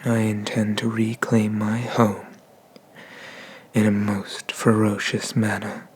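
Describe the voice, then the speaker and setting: Man acting as vengeful dragon god, ASMR roleplay, very slow delivery, fairly flat intonation, disdainful, menacing, whisper-prone. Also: fairly low pitch, husky. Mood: brooding, menacing. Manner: disdainful, menacing, deliberate, often slipping into whispers. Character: haughty, vengeful dragon god exiled to a temple.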